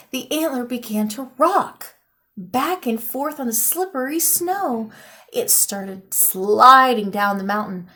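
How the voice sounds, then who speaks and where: A woman teacher reads a picture book aloud to children. Her voice sits a little high for a woman. Her storytelling is lively and expressive, rising on the exciting parts.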